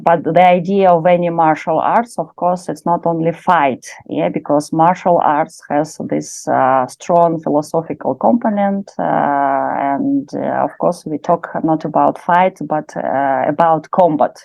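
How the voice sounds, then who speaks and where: Russian-accented woman lecturer, low and creaky voice, hesitant speech with many fillers, dry close sound. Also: unhurried, few pauses, a little mellow, no room sound, poor audio. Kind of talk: informal lecture in English.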